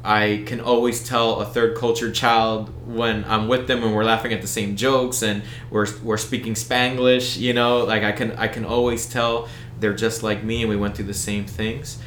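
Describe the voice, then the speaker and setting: American guy chatting loosely, lots of you-knows, lowish voice, roomy echo, bit of hiss. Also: level tone, moderate pace, few pauses, a bit bright. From United States. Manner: rambling, many restarts and fillers. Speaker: event organizer, guest. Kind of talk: casual interview talk.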